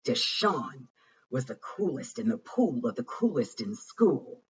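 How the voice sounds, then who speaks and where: A man reading a rhyming children's storybook aloud with a rhythmic, expressive and deliberate delivery. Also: medium pitch, husky, muffled recording. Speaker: reader of a children's picture book.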